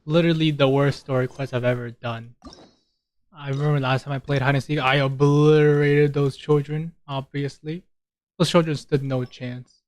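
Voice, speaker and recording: A man's voice: mid-range voice, fairly even intonation, normal speed, darkish tone, no room sound. A man giving casual, joking gameplay commentary with a mock-boastful, irreverent manner. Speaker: gameplay streamer.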